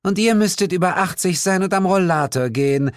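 A man's voice: high-pitched, brisk, clear, resonant tone, dry recording, clean studio sound, voice pushed up, natural voice. A man voicing a cheeky, defiant teenage demon girl in an audiobook, snotty and insolent.

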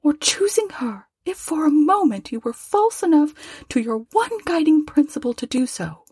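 A woman doing a soft, tearful young woman. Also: sits high, lively intonation, normal speed, dry recording, pitched above the performer's own voice. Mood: sorrowful. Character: young woman, sorrowful and gentle but firm.

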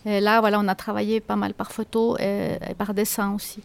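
A woman author being interviewed, answering in a hesitant way with many pauses and restarts. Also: medium pitch, level tone, creaky. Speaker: author guest.

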